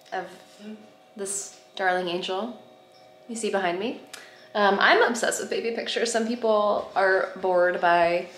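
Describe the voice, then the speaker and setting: A woman in her thirties chatting casually to a camera at arm's length, with a playful, gushing way of talking. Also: mid-range voice. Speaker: vlogger. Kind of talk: casual vlog chat. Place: home kitchen and dining room, indoors. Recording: roomy echo, light background noise.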